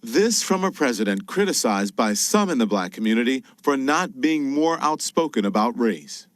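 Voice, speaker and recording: A man anchoring a news report, reading in a crisp, emphatic broadcast style. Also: medium pitch, sing-song, moderate pace, no room sound. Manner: crisp, emphatic newsreader delivery.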